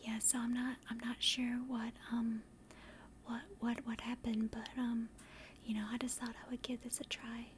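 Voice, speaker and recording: A woman chatting casually to livestream viewers in a soft, breathy ASMR whisper, gentle and rambling, close to the microphone. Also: completely flat delivery, moderate pace, a little hiss or hum. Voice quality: soft, breathy whisper and hushed low-volume speech. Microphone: close directional microphone.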